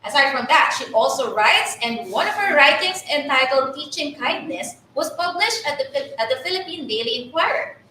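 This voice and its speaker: High bright Filipino woman hosting. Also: normal speed, few pauses, very echoey, recorded from a distance, a little hiss or hum, low-quality recording. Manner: lively, code-switches between English and Tagalog. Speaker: co-host of a teacher training webinar. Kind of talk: bilingual webinar hosting, reading introductions.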